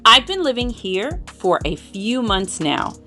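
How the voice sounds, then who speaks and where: A woman's voice: on the high side, very animated, a little slow, sharp tone, faint music behind, exaggerated voice. A woman voicing a confident, cheerful female city mouse in a children's storybook, with a breezy, emphatic manner. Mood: eager.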